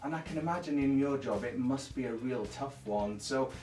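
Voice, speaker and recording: A man's voice: mid-range voice, normal speed, dark tone. A man, hosting an interview and asking friendly, rambling questions. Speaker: host. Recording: echoey room, distant mic, faint music.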